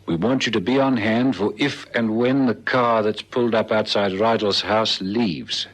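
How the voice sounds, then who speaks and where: A South African man playing a dutiful, wry detective sergeant in a radio drama, brisk and procedural. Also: on the low side, close to an everyday voice, rough recording. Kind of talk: old radio drama acting. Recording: vintage radio recording, faint noise.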